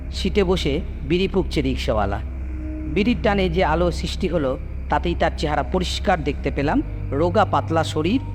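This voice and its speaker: A man with a fairly high, very expressive voice narrates a ghost story over clearly audible music. His delivery is suspenseful and deliberate, built on dramatic pauses. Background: music bed under the voice.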